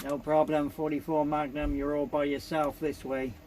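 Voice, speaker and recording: A man from the United Kingdom with a slightly high voice works the CB radio. He speaks politely in short repeated phrases and call signs, with clear noise over the recording. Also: little pitch movement, normal speed. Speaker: CB radio operator.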